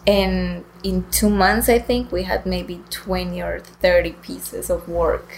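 A woman's voice: fairly low pitch, flat delivery, a little slow, vocal fry, slight background noise, rough recording. A woman artist answering interview questions in an earnest, reflective, conversational way. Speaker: interview guest, painter.

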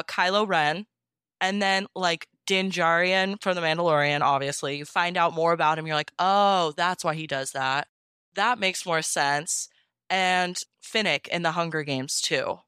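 Female interview guest, crisp and slightly low-pitched, gushing, informal storyteller. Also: measured pace, bright, forceful tone, no room sound, studio quality. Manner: gushing, self-mocking, heavy use of "like". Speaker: interview guest, fan fiction audio reader. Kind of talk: casual animated storytelling.

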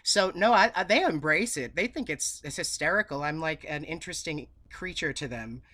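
A man's voice: on the high side, lively intonation, moderate pace, sharp tone. A man in his thirties with a New England accent, chatting effusively as an interview guest and telling stories with emphatic repetition. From Massachusetts, USA. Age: 30s. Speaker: podcast guest, theme park singer.

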